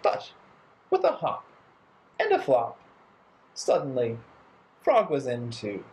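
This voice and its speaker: Male children's storyteller, thirties, theatrical and playful, slow delivery, very lively intonation. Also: medium pitch. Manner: playful, theatrical, deliberate phrasing. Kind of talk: animated children's storytime narration. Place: bright living room indoors.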